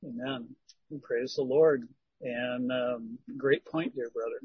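A man leading a church Bible discussion in a slow, earnest, pastoral way. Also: medium pitch, steady tone, breathy edge, no echo, muffled recording. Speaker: Bible study leader.

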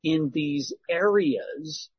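Slow, breathy man leading Bible study. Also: on the high side, no room sound, highs cut off. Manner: slow, earnest, pastoral. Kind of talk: church discussion, preaching.

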